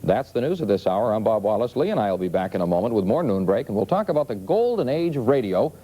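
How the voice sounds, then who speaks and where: A man's voice: on the low side, big pitch swings, fast, mellow. An American man from the East, working as a news anchor and co-host with broadcast delivery and banter. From eastern United States. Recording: muffled 1970s TV recording, faint noise.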